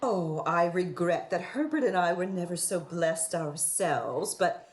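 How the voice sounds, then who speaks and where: A woman's voice: fairly low pitch, expressive, measured pace. A woman playing a scheming, prim widow in a radio play, with a florid, sanctimonious and affected delivery. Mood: flustered, wistful. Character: scheming widow posing as prim, melodramatic moral crusader. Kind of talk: theatrical radio play acting.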